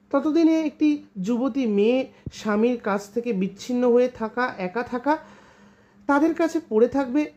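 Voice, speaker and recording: A woman reading a literary short story aloud in an expressive storytelling style. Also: medium pitch. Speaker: story reader.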